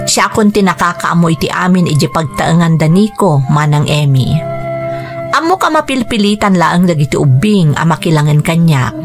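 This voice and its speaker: A woman playing a composed would-be stepmother in a radio drama, in a measured, confiding delivery. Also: fairly low pitch. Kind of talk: scripted radio drama narration and dialogue. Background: background music.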